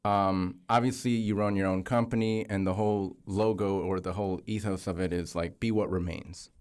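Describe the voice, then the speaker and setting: A man with an American accent and a fairly low voice. He speaks as a podcast host, putting questions and remarks in a loose, casual chatting style. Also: fairly even intonation, moderate pace, darkish tone, smooth. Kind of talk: casual chat.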